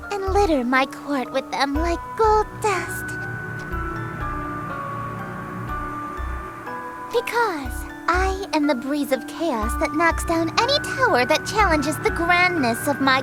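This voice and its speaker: A woman's voice: extremely high pitch, big pitch swings, a little slow, full character voice. A woman playing a haughty, theatrical eldritch goddess of chaos in a visual novel game, grandiose, imperious and melodramatic. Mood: grand, menacing. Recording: music behind the voice.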